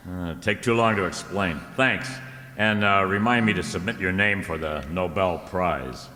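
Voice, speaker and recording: A man's voice: sits low, unhurried, sharp tone. A man acting a wry, tough private detective in an old-time radio drama, with a sardonic, wisecracking delivery. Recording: hall-like reverberant radio play recording.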